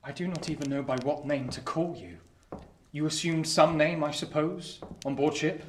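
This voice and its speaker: A man acts a young gentleman in a radio drama, earnest and anxious. His speech is formal and urgent, in a lightish higher voice that sounds set back from the mic in a live room. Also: normal speed, reverberant, recorded from a distance, a little hiss or hum, close to an everyday voice. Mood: guarded. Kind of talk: radio play acting.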